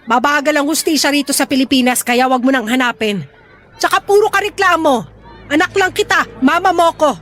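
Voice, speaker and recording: A woman's voice: high voice, brisk, a bit bright, some chatter behind. An actress playing a stubborn, debt-ridden mother who scolds and coaxes her daughter in a radio-style drama. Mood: scolding. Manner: scolding, then wheedling. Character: pushy indebted mother, gambler, stubborn and dismissive.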